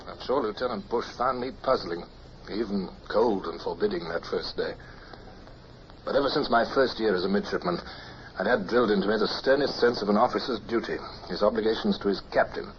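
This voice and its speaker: An actor playing a reserved, dutiful naval officer, speaking in a clipped, formal, measured manner in period radio drama style. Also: male voice, on the low side, natural voice. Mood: grave, reflective. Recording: dull old radio recording with hiss.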